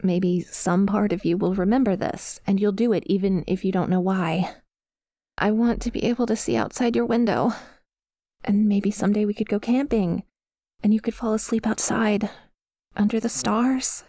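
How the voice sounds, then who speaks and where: A woman playing a possessive but vulnerable female sleep paralysis demon in an ASMR roleplay, speaking softly and hesitantly, close to the mic. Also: medium pitch, no room sound, lightly acted. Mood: shy, hopeful. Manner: hesitant, halting, tentative, confiding. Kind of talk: ASMR roleplay, intimate monologue addressed to a sleeper. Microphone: close microphone, dry.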